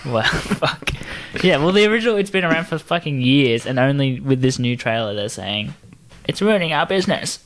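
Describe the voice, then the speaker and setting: Animated, bright-voiced sweary man. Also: mid-range voice, normal speed, a little hiss or hum. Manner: sweary, excitable, mock-indignant. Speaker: podcast co-host. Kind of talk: casual chat, banter.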